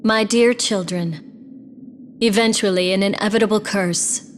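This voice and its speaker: An actress playing a sorrowful, dignified young royal in a fantasy game, earnest and formal. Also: female voice, medium pitch, steady tone, unhurried, in a large hall, a little hiss or hum, natural voice. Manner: earnest, formal, archaic phrasing. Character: sorrowful, dignified young royal woman, gentle but resolute. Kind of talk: theatrical fantasy game drama.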